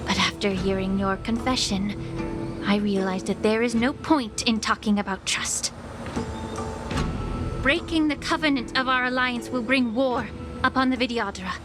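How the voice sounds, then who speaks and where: An actress playing a composed, stern, skeptical female official in a fantasy game, speaking in a measured, formal, cutting way. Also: on the high side, steady tone, natural voice. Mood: skeptical, cool. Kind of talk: scripted fantasy game voice acting. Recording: loud music behind. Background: game music.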